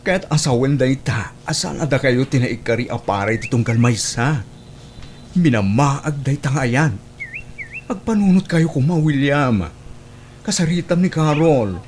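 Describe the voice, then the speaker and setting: Ilocano man acting as worried sensible buddy, medium pitch, audio drama, faint noise behind. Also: lively intonation, normal speed, darkish tone, natural voice. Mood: earnest, admonishing. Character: concerned, sensible co-worker advising a straying friend.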